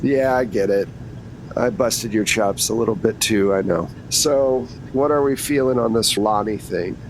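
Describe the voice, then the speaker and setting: A man's voice: lowish voice, measured pace, lightly acted. Man playing an easygoing, wry jailhouse lawyer, noisy recording. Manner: casual, frank, wry. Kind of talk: improvised tabletop roleplay. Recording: steady background hum and noise.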